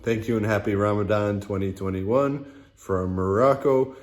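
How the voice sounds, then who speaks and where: A man's voice: fairly low pitch, steady tone, moderate pace, darkish tone. A man presenting, giving a closing narration to camera or over video.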